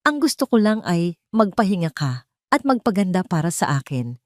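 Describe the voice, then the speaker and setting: A woman's voice: fairly low pitch, sing-song, normal speed, no room sound. Woman voicing a sweetly controlling man, dry sound. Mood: gently commanding. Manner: tender yet commanding. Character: sweet-talking, controlling, menacing male fiancé.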